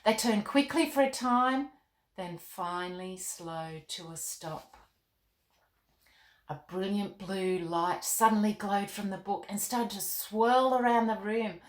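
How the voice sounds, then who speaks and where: A woman reading expressively to children as a storytime host, with a gentle, deliberate delivery. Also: mid-range voice. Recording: roomy, echoey room.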